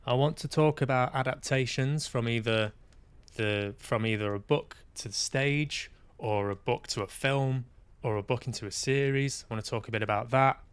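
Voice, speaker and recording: A man's voice: mid-range voice, normal speed, clear, resonant tone, no room sound. A man with an English accent hosting a casual livestream, rambling with dry, self-deprecating humour. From Manchester, England. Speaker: podcast host and streamer.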